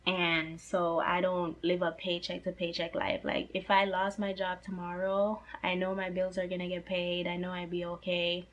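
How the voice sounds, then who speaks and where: A young woman in her twenties speaks straight to camera in a relaxed, chatty vlog, her voice a touch hoarse, as if she is starting to lose it. She sits in a slightly low register and keeps the tone earnest, peppering her sentences with "like" and "you know". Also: moderate pace, few pauses, dull audio. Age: 20s. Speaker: personal finance vlogger. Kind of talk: casual talk to camera.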